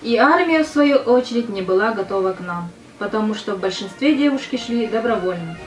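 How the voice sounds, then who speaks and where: A woman's voice: medium pitch, lively intonation, a little fast, lightly acted. A woman in an audio play acting a practical, dutiful young female soldier with a matter-of-fact delivery. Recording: roomy echo, music behind.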